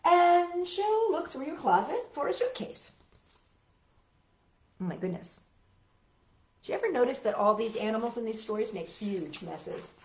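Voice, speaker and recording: A woman's voice: fairly high pitch, sing-song, measured pace, long pauses, a little mellow. A woman hosting a children's storytime, reading aloud with exclamations and chatty asides addressed directly to young listeners. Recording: roomy, dull lo-fi sound, faint music.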